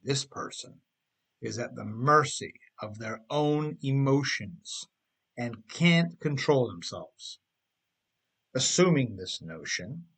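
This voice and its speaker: Man, husky voice, unhurried pace, audiobook narration, conversational, emphatic phrasing. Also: mid-range voice, fairly bright tone. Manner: conversational, rhetorical questions, emphatic stresses. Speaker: author reading own book.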